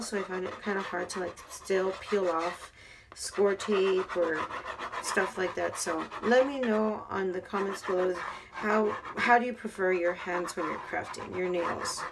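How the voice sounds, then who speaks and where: Hoarse, gravelly-voiced woman crafting. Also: mid-range voice, background noise. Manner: chatty, talks while working with her hands. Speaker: craft tutorial host. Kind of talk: casual craft tutorial narration. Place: craft table at home.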